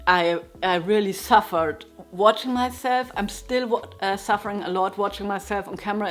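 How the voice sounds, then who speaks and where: A middle-aged woman speaks English with a German accent, at a voice of middling height for a woman. She reflects in a candid, unhurried way and gently pokes fun at herself as she talks through her routine. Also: medium pitch. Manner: thoughtful, candid, self-deprecating, chuckles now and then. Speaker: jazz guitar teacher and YouTuber. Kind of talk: reflective casual monologue. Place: her home room.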